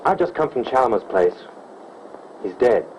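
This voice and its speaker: An actor playing a devoted, earnest young male sleuth who jokes gently, in a 1930s film. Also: medium pitch, lively intonation, a little slow, natural voice, rough recording. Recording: old film soundtrack with faint hiss.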